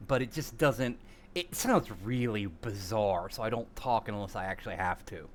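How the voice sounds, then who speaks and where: A man's voice: fairly low pitch, moderate pace, slight background noise. A man with a nasal sound, chatting casually on a live stream and muttering at his computer. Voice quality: nasal on recordings.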